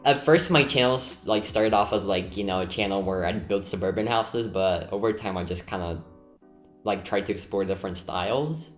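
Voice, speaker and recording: A man's voice: on the low side, normal speed. A man, a native Lithuanian speaker, chatting casually and a bit hesitantly as he hosts a group chat over his building video. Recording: roomy echo, music behind. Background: background music.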